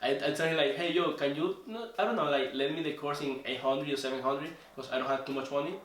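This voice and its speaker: A young man from Venezuela with a strong Spanish accent. He speaks English as a second language, earnest and halting, and repeats words while he searches for the English. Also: a little high, fairly bright tone, slight background noise. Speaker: trading student guest. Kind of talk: casual interview storytelling. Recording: echoey room, voice from a distance.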